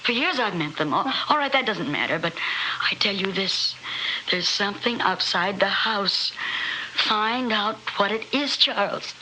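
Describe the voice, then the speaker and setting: An actress playing a frightened, embittered middle-aged wife in a 1960s radio horror play, intense and pleading. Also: female voice, mid-range voice, expressive, unhurried, sharp tone, rough recording. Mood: tense, insistent. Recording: slightly hissy old radio recording.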